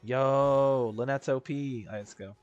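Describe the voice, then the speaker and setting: A man doing casual, informal gameplay commentary on a livestream, thinking out loud and swearing freely. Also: medium pitch, unhurried, clean tone, muffled recording. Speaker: game streamer. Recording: game music behind the voice. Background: video game music.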